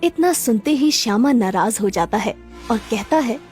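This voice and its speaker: A woman's voice: fairly high pitch, expressive, moderate pace, a little mellow, husky, soft background music. A woman narrator reading a scripted children's story.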